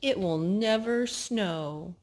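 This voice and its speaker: A woman's voice: medium pitch, slow pace, a little mellow, lightly acted. A woman voicing a gloomy, worried male skunk in a read-aloud storybook. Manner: glum and doubtful. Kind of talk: storybook reading.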